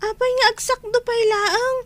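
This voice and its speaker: A woman's voice: very high voice, steady tone, normal speed, a bit bright. A woman playing a playful, reluctant child who shirks chores, whining with an Ilocano accent in a radio comedy drama.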